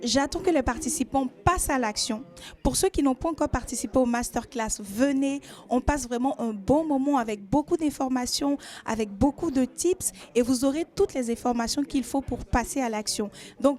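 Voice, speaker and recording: A woman's voice: high-pitched, moderate pace, darkish tone. A woman coach talking on camera about her training session and encouraging people to come. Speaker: coach running a masterclass. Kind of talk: promotional interview remarks.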